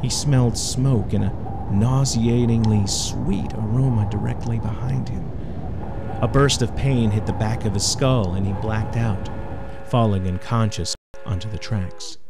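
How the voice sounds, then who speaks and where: A man's voice: on the low side, fairly even intonation, a little slow. A man narrating a scripted suspense story in a dramatic storytelling style. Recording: noisy, ambient sound behind voice.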